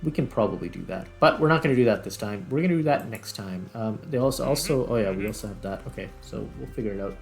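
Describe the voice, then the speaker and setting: Gravelly man casually narrating his gameplay. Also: fairly low pitch, quick pace, few pauses, soft background music. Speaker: host, gaming video creator. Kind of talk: casual gameplay commentary, thinking aloud.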